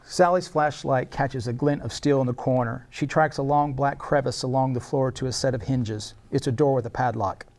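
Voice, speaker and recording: A man's voice: medium pitch, moderate pace, few pauses, darkish tone. Man narrating screenplay stage directions. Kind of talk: scripted narration at a screenplay table read.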